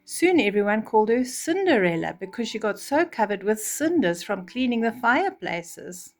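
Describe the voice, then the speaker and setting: An older woman reading a children's storybook, pausing to explain things simply as a bedtime storyteller. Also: on the high side, big pitch swings.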